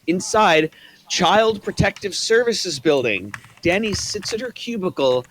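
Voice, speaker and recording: A man's voice: sits high, measured pace, slight background noise. A man reading the stage directions aloud at a screenplay table read. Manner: plain, steady reading.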